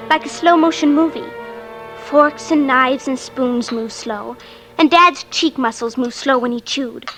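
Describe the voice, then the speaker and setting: An actress playing an earnest, insistent young girl in an old radio drama, pleading with childlike urgency. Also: sits high. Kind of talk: 1940s-50s radio drama acting. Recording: vintage radio recording with music behind.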